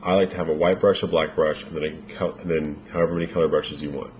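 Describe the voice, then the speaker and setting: A man giving a casual makeup tutorial, explaining each step in a relaxed, rambling way with many fillers, his voice a little worn as if he is losing it. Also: rumbling low, fast talker. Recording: dull lo-fi home recording with faint noise.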